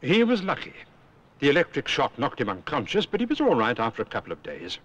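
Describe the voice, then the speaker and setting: A man's voice: medium pitch, huge pitch swings, fast talker, a bit bright. A British man voicing a wise, stern cartoon owl who lectures emphatically about safety in a 1970s public information film. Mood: grave, cautioning. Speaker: safety instructor. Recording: dull old film soundtrack with music.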